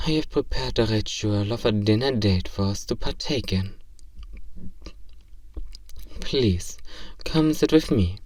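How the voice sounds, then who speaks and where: A man's voice: on the low side, darkish tone, lightly acted. A man playing a polite, curious alien robot in human disguise, naive about romance, speaking formally and literally in a scripted roleplay. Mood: polite, eager. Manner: formal, stilted, literal, precise phrasing. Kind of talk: scripted roleplay audio drama. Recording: roomy, slightly distant microphone.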